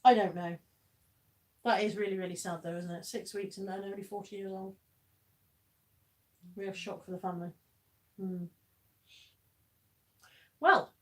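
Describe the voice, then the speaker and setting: A woman's voice: medium pitch, fairly even intonation, a little slow, long pauses. A woman hosting a live stream in a casual chatty way, reading out viewer comments, laughing often and talking bluntly. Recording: voice somewhat far from the mic.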